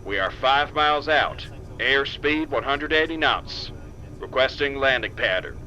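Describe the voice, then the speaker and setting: A man's voice: medium pitch, animated, measured pace, sharp tone. A man playing a military pilot calling a control tower in formal, clipped radio procedure for an audio drama. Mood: businesslike. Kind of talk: clipped radio-call acting. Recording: dull lo-fi sound with engine noise.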